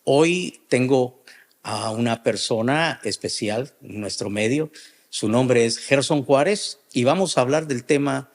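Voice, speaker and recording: A middle-aged man, a pastor hosting a podcast interview, speaking deliberately in short measured phrases. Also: medium pitch.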